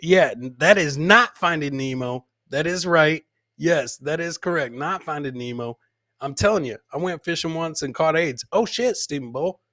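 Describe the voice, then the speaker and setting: An American man hosting a comedy podcast, loudly commenting on funny clips in a boisterous way, swearing freely and throwing out catchphrases. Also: a little high, normal speed, no echo, clean studio sound.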